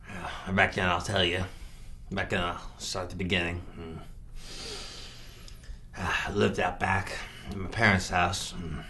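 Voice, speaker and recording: A man performing a film monologue as a simple rural killer, halting and deadpan, grunting "mm" between short phrases. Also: low-pitched, slight background noise. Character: slow, simple, flat-voiced rural killer confessing his past. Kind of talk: improvised film monologue reenactment.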